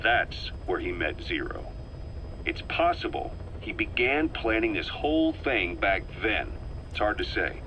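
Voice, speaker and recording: An actor playing a grave middle-aged intelligence operative in a video game, delivering a measured, deliberate, serious briefing. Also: male voice, lowish voice, sing-song, bright, forceful tone, slight background noise, exaggerated voice, poor audio. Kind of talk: dramatic scripted briefing narration.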